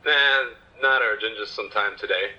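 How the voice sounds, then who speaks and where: Actor as male police detective, casual but businesslike, thin telephone sound, piercingly bright tone, audio drama. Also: lowish voice, moderate pace. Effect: telephone call filter. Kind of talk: audio drama acting, naturalistic dialogue. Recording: band-limited phone-call sound.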